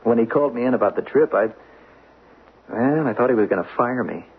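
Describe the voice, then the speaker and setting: An actor playing a loyal, trusting American employee in an old-time radio drama, casual, earnest and puzzled. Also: male voice, medium pitch, expressive, brisk, husky. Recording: muffled old radio recording.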